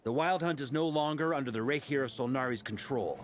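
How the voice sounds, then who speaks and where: A man's voice: medium pitch, brisk, natural voice. A man voicing an easygoing yet commanding knight leader in a video game. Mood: grave.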